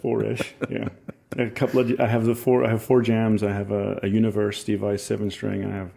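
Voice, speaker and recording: A man in his forties with a Scottish accent softened by years in Ohio, a musician chatting in an interview and telling rambling, enthusiastic stories with self-mocking laughs. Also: fairly low pitch, monotone, a little fast, gravelly, husky. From Scotland. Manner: rambling, enthusiastic storyteller who laughs at himself. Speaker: musician guest. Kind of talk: casual interview chat.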